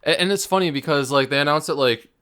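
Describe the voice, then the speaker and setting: A man's voice: on the high side, quick pace, a bit bright, clear, resonant tone. A man co-hosting a casual gaming chat, explaining things in a loose, hesitant way with frequent asides. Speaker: podcast co-host.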